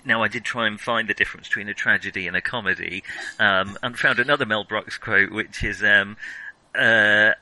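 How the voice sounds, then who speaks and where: A man's voice: lowish voice, steady tone, moderate pace, bright, forceful tone, no echo, low-quality recording. A man co-hosting a film podcast, giving opinionated, analytical and wry views in casual discussion.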